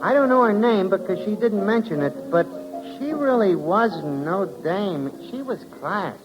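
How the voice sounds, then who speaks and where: High-voiced, gabby bartender. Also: male voice, expressive, a little slow, muffled recording, music in the background. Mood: earnest, admiring. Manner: folksy, ungrammatical, earnest. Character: friendly, gabby, slangy café bartender, naive and earnest. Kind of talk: 1940s radio drama acting. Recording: old radio broadcast recording.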